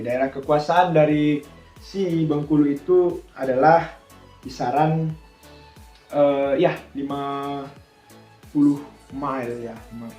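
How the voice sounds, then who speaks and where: Guy doing a chatty tutorial, relaxed mellow voice, asks then answers his own questions, roomy echo. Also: medium pitch. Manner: chatty, thinking aloud with rhetorical questions. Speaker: air traffic controller presenting a tutorial. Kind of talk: informal explanatory tutorial. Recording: echoey room with background music.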